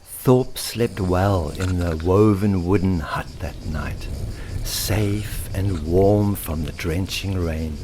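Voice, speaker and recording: A man narrating a children's story in an expressive, theatrical way, lingering on alliterative words. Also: deep voice, slow, slight background noise. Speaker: author and storyteller.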